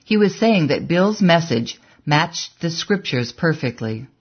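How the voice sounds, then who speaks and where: An audiobook narrator reading a story in a measured, even way, on a dull, lo-fi recording. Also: mid-range voice, husky. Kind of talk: scripted narration.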